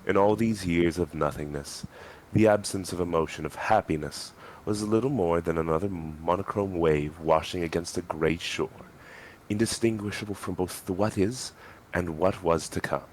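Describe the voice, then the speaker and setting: Deep-voiced man narrating dramatically. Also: normal speed, a little hiss or hum, low-quality recording. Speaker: reader in a group dramatic reading. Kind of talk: dramatic scripted story narration.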